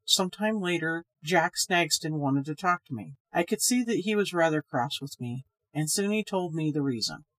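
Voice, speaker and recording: A woman's voice: low-pitched, lively intonation, moderate pace, few pauses, dry recording. A woman reading a scripted ghost story aloud as the narrator. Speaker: story reader, podcast host.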